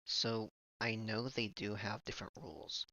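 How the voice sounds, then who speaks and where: A man's voice: lowish voice, fairly even intonation, moderate pace, pushed and bright, muffled recording. A man hosting a casual stream chat, goofy and rambling, laughing often. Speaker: streamer host.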